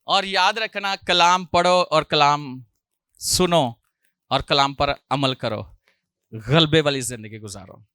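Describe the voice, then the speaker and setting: Male pastor, bright ringing voice, sore throat, loud hallelujah rises, jokey asides. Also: a little high, takes it slowly, clear, resonant tone, no room sound, studio quality. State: sore throat, clearing his throat. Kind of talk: sermon.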